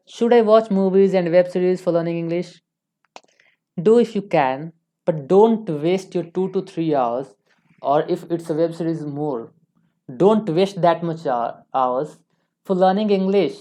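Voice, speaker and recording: Female online tutor, young, Indian accent, low mellow voice, informal and emphatic lecturing style. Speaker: online English teacher.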